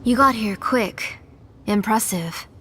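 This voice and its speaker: An actress playing a grieving, obsessed mother turned villain in a video game, speaking with slow, deliberate menace. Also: fairly high pitch, fairly bright tone, slight background noise. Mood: cold resolve. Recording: music behind the voice.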